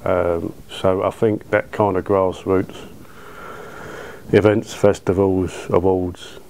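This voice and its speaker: British man, thirties, deep voice, even monotone, colloquial interview talk, some background ambience. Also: slow pace, creaky, slight background noise. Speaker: cinema owner being interviewed. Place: small dark cinema auditorium, indoors. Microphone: lapel.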